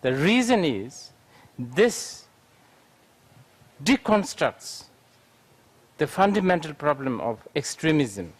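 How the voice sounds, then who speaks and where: A man answers questions at a Buddhist lecture, his voice sitting a touch high. He talks unhurriedly, thinking aloud with frequent fillers. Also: sing-song, takes it slowly, long pauses, breathy edge.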